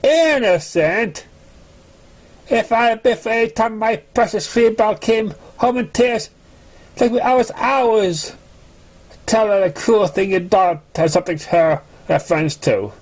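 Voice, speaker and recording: Guy putting on an angry pony parent, way up high, scolding and dragging words out, storybook. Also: a little slow. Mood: outraged. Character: outraged protective pony parent defending a hurt daughter.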